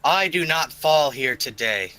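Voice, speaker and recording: A man's voice: fairly high pitch, animated, a little slow, bright, forceful tone, voice pushed up, poor audio. A man playing a defiant, boastful fantasy warrior in a tabletop game, declaiming in a commanding way.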